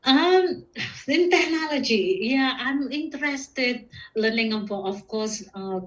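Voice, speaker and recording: A woman from Indonesia with an Indonesian accent, giving casual, halting interview answers as a podcast guest. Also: sits high, lively intonation, fairly bright tone. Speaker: podcast guest, security professional and student. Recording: muffled, distant microphone.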